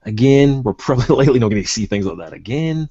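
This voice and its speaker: A man's voice: medium pitch, animated, fast talker, mellow, husky, no room sound. A man co-hosting a collecting podcast in casual chat, agreeable and enthusiastic about details. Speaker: co-host of a toy collecting podcast. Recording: dull, muffled lo-fi audio.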